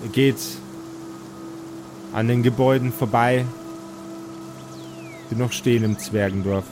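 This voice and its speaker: A man narrating as game master, telling an improvised fantasy story in a measured, halting, atmospheric way. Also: medium pitch, fairly even intonation, noisy background.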